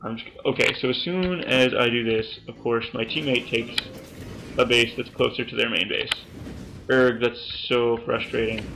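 Joking young man yelling at a game. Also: medium pitch, fairly even intonation, moderate pace, vocal fry, background noise. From Canada. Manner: joking, self-mocking, bursts of yelling at the game. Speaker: gaming YouTuber. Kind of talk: gameplay commentary. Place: small room at home.

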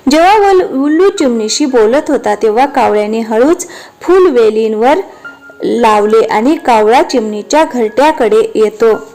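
A woman's voice: a little high, expressive, unhurried, dark tone, faint music behind, poor audio. A woman reading scripted narration for a children's cartoon story.

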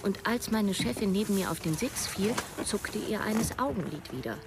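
A woman's voice: mid-range voice, expressive, moderate pace, noisy background, natural voice. An actress playing an observant, wry woman in her thirties who narrates a radio play in the first person. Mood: watchful, wry. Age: 30s.

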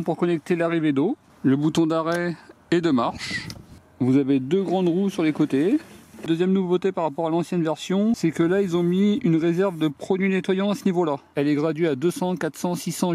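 DIY host, man, slightly high voice, plain practical step-by-step delivery, recorded outdoors on camera mic. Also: dark tone. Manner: plain step-by-step explaining, addresses viewers directly. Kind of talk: hands-on product demonstration. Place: outdoors on a garden deck.

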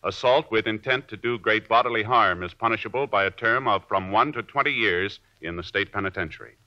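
An American man announcing in a formal, measured 1950s radio style from a script. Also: fairly low pitch. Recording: dull vintage 1950s radio recording.

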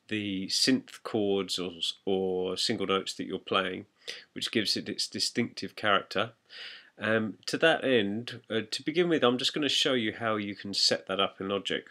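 A man talking as a music production tutor, giving an instructional, step-by-step walkthrough of software. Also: lowish voice.

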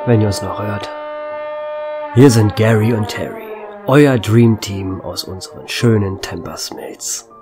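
A man's voice: lowish voice, close to an everyday voice. A man playing a small-town radio host named Gary, announcing a disaster on air with urgent, then resigned delivery, faint music behind. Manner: urgent broadcast announcing, turning quiet and resigned. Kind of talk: German fan radio drama acting.

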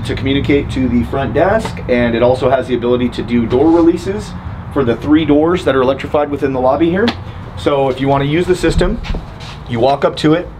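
A man in his thirties giving an informal, matter-of-fact, step-by-step walkthrough as an installing contractor. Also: a little high. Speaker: installing contractor demonstrating equipment. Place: building entrance, lobby and front office. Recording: noisy on-site recording with clicks.

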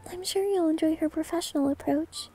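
Very high-pitched shy maid. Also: female voice, brisk. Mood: nervous, tender. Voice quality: soft, high, girlish. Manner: hesitant, gentle, stammering. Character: shy, sweet young novice maid, flustered and caring. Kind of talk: anime-style ASMR roleplay acting. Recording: music behind the voice.

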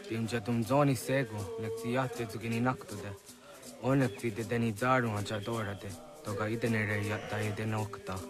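A man's voice: fairly low pitch, steady tone, brisk, music in the background, close to an everyday voice. A man playing an earnest young hunter in dramatic video game dialogue. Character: earnest young male hunter in a forest village.

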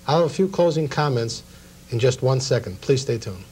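A man's voice: medium pitch, lively intonation, a little fast, darkish tone. A middle-aged man, hosting a local cable talk show in a studio. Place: small TV studio at a table.

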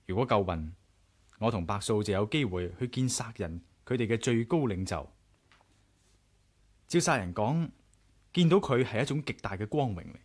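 An actor with a Hong Kong Cantonese accent playing a curious, self-assured adventurer in a radio drama, frank and measured. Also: male voice, on the low side. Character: curious, bold male adventurer narrating his own tale. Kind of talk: radio drama acting and narration.

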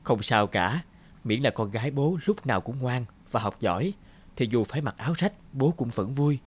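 A man playing a humble, middle-aged country father in a cartoon, speaking gently and haltingly. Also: medium pitch, animated, husky. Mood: fond, gentle. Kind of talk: cartoon dialogue acting.

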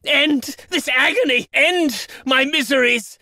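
Pompous, high-pitched snobby medieval nobleman. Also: slow, sharp tone, no room sound, exaggerated voice. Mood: pained, pleading. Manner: pompous, florid, declaiming. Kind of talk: video game battle voice lines.

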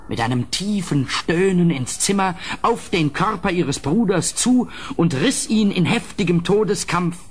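A horrified gentleman in an old gothic audio drama. His voice is medium in pitch and theatrical, urgent as it swells and then drops to a hush. Faint noise lies on the recording. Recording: slightly noisy old studio recording.

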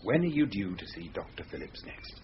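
Radio thriller husband: curt, sardonic, sceptical, extremely animated intonation, slightly muffled sound. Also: male voice, lowish voice, fairly quick. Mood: exasperated. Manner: curt, sarcastic. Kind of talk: thriller radio drama acting. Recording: dull, noisy radio drama recording.

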